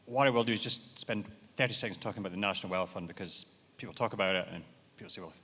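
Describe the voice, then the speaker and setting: A man's voice: lowish voice, racing, fairly bright tone, husky. A man answering questions on a conference panel in a businesslike, explaining way. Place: large event hall. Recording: reverberant hall.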